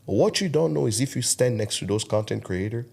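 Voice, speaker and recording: A man's voice: medium pitch, animated, a little fast, gravelly, darkish tone, husky. A man in his thirties, raised in Togo with French as his first language, speaking with a French West African accent, preaching emphatically as a podcast guest. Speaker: guest content creator. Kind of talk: podcast interview.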